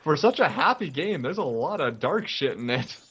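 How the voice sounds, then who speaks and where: A young man co-hosting a let's play with casual, agreeable gaming commentary, delivered in a halting, conversational way. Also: a little high, very animated, a bit bright, husky, muffled recording.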